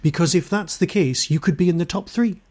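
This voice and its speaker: A man with a fairly high voice hosts a casual podcast interview. His talk is chatty and informal, with a joking way of putting questions. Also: brisk, darkish tone.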